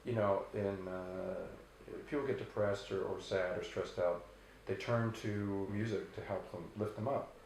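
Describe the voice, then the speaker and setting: Brief: deep-voiced male rock singer, loose rambling answers, roomy echo. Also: a little slow, slight background noise. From Canada. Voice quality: very low bass voice. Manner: relaxed, rambling, many restarts and fillers. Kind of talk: casual interview answers.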